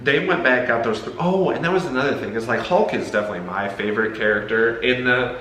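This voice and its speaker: A man's voice: mid-range voice, fast talker, pushed and bright. A man chatting casually through a film review, rambling and opinionated as he retells things. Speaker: co-host discussing a movie. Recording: roomy echo, faint background noise.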